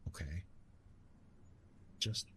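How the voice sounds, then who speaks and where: A man playing a retired god of love in an intimate ASMR roleplay, tender and teasing, speaking softly with a husky, breathy voice and halting, reassuring delivery. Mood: gently reassuring. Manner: gentle, reassuring, halting with pauses and self-corrections.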